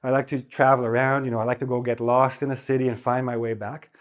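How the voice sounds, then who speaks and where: Male English teacher, mid pitch, loose conversational delivery with frequent check-ins. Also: fast. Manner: relaxed, chatty, repeats "okay?" to check in. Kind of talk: spoken English lesson.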